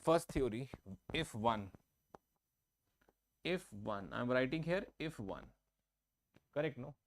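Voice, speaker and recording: A man's voice: medium pitch, lively intonation, slow pace. A man teaching an online math class. He explains each step, repeats himself and often checks that students follow. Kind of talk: online exam-prep class. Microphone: own microphone, online class.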